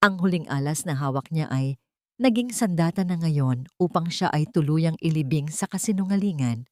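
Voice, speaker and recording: A woman's voice: sits low, expressive, normal speed, dark tone, no room sound, studio quality. A woman with a Filipino accent narrating a dramatic scripted story as an audiobook reader.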